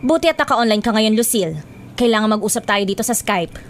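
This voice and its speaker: A woman's voice: mid-range voice, animated, fast talker, a little hiss or hum, natural voice. A woman playing a stern, exasperated middle-aged aunt in a Filipino radio drama, scolding with melodramatic emphasis. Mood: weary, complaining.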